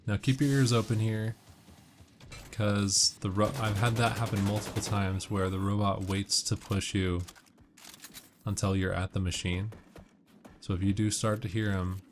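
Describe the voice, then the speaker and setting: A man's voice: lowish voice, level tone, measured pace, a little hiss or hum. A man giving casual, instructional game commentary, walking through tips step by step. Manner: explanatory, instructional. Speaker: gamer giving walkthrough tips. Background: game music and gunfire.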